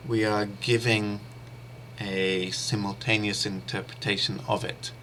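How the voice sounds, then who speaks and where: A man whose first language is English, teaching an interpreting course in a steady, explanatory way with pauses and fillers. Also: lowish voice, slight background noise. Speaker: interpreting instructor. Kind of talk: explanatory online lecture.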